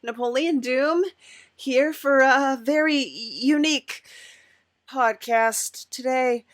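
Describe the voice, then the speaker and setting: Brief: female podcast host, slightly high voice, slow and hesitant, casual comedic interviewing, dry close sound. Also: no echo. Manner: halting, hesitant, stammering.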